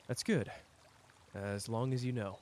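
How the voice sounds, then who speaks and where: A man acts out a powerful male sorcerer with a cocky surface and a wounded core. He speaks at a middling male pitch in an intimate audio drama, casual and conversational. His teasing delivery is aimed straight at one listener, with pauses between thoughts. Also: steady tone, darkish tone, slight background noise, close to an everyday voice. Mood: calm, serious.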